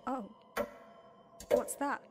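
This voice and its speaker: An actress playing a timid, naive young maid, a lost spirit, hesitant and deferential, in an audio drama. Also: female voice, extremely slow. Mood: timid wonder. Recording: loud music under the voice.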